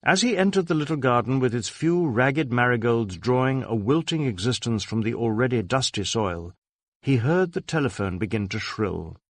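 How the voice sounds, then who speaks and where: A man narrating a detective novel as an audiobook, with measured, dramatic storytelling. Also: mid-range voice, animated, no echo.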